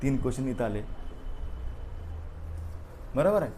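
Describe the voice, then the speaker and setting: A man's voice: mid-range voice, very animated, unhurried, dark tone, a little hiss or hum. A man teaching a live exam-coaching class, going over a past paper and prodding students with frequent "okay" and "right" fillers. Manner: repeats "बरोबर आहे" and "ओके" as fillers, prods students directly.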